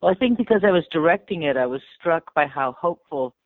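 A man's voice: on the high side, animated, fast talker, no echo. A man, a director and actor, explaining his view of a character with enthusiasm, heard over a phone line. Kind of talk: interview answer. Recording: tinny phone line, dry.

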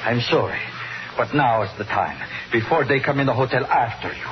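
A man's voice: mid-range voice, animated, brisk, close to an everyday voice. Guy doing a tired stern hotel man hiding grief, old 50s radio thriller, muffled and noisy. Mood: firm. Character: weary, grieving older hotel man, stern but conflicted. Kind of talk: 1950s radio thriller acting. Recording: noisy, dull vintage radio broadcast.

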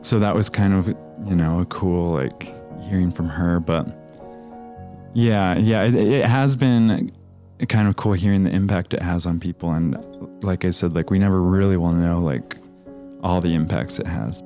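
A man's voice: sits low, normal speed, mellow, faint music behind. A man talking casually, with lots of fillers. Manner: loose, filler-heavy talk. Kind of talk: casual chat.

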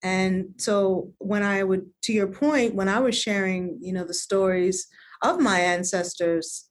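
A woman's voice: mid-range voice, fairly even intonation, unhurried. A woman from Connecticut, a designer being interviewed, speaking earnestly and often repeating words as she gathers her thoughts. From United States (Connecticut). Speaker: designer, guest. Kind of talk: reflective interview conversation.

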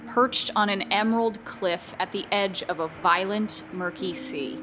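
A woman performing as a wry, ominous gothic storyteller, narrating a scripted radio drama in a measured, literary way. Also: on the low side, close to an everyday voice. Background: prominent music.

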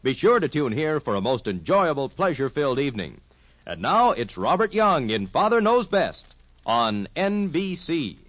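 A man's voice: on the high side, sing-song, normal speed, a bit bright, no echo. A man with an American accent making formal, polished announcements in vintage network radio style. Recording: dry, muffled vintage radio broadcast.

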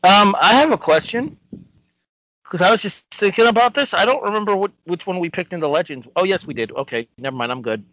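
Male podcast co-host, fairly high pitch, casual chat, dry close sound, muffled lo-fi audio. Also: lively intonation, fairly quick, fairly bright tone, dry recording.

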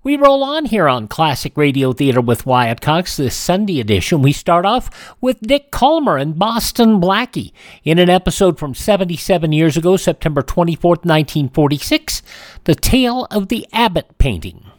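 A man's voice: on the high side, sing-song, normal speed, no room sound, studio quality. A man hosting a radio show, introducing programs in announcer patter. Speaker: radio host.

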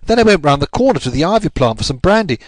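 A male narrator plays a big police constable caught out, nervous and sorry for himself. He rambles in a raised, lively pitch, deferential and apologetic, in a dry storybook recording. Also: fast, no echo. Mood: explaining, sheepish. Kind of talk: audiobook character voice.

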